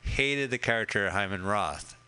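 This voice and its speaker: Man, bright voice, casual movie chat, profane jokes, rambling. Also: fairly low pitch, animated, a little slow, clear, resonant tone. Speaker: podcast co-host.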